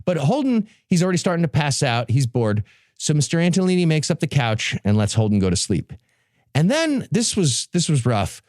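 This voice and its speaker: Guy podcast host, kinda high voice, really animated, sarcastic, recapping a book for laughs. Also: moderate pace, dry recording, clean studio sound. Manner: sarcastic, irreverent. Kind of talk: comedic recap in casual banter.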